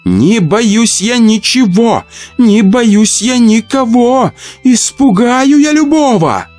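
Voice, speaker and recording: A man's voice: on the high side, sing-song, moderate pace, darkish tone, faint music behind, voice pushed up. A man voicing a murdered woman returned as a nervous, bitter, eerie spirit in an audio horror story.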